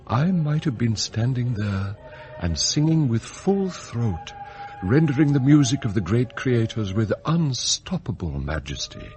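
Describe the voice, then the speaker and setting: An actor playing a formal, measured butler in a radio drama, smooth, scheming and bitterly envious. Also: male voice, fairly low pitch, a little mellow. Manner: formal, measured, deferential to employers. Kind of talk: radio drama acting with confiding monologue.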